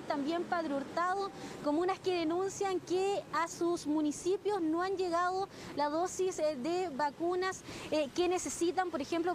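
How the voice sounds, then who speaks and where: A Chilean woman with a very high voice reports live on location, steady and a little hesitant now and then. Clear background noise surrounds her on the outdoor feed. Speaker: field reporter.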